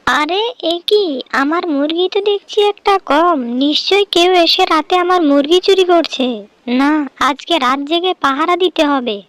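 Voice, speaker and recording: An old village granny from a cartoon folktale, fretful and indignant. The voice sits extremely high and exclaims, recorded very dry. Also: male voice, measured pace, fairly bright tone, no room sound. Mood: dismayed.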